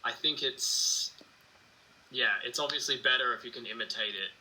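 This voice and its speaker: A man from Australia with an Australian accent, an English tutor chatting in a relaxed, easygoing way on a video call. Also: mid-range voice, normal speed, rough recording. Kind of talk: casual online lesson chat.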